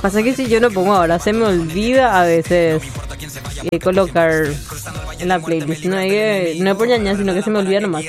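A woman's voice: mid-range voice, brisk, over music. Chatty Paraguayan woman radio host. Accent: Paraguayan Spanish. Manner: chatty and playful, laughs often. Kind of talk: casual radio chat reading listener messages.

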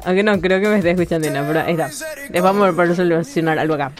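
A woman's voice: on the low side, very fast, faint music behind. Chatty Paraguayan woman radio host. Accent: Paraguayan Spanish. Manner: chatty and playful, laughs often. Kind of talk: casual radio chat reading listener messages.

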